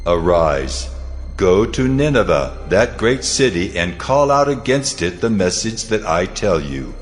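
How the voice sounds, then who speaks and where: A man voicing God in an audio drama, giving slow, solemn commands. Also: low-pitched, very animated, faint music behind. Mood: commanding. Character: God, booming commanding divine male voice. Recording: long echoing reverb.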